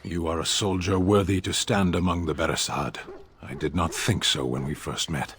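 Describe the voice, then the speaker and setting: Very deep, stoic warrior. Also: male voice, steady tone, a bit bright. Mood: stoic, grave. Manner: terse, solemn, measured. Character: stern giant warrior, a stoic adult male soldier. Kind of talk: video game character acting.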